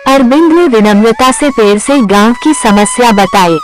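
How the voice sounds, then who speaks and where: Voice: medium pitch, unhurried. A storyteller reading a scripted moral tale in a narrating style. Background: background music.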